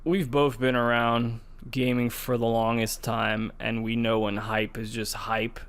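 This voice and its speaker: Man, medium pitch, casual podcast discussion, measured, skeptical co-host. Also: flat delivery, fairly bright tone, clear, resonant tone.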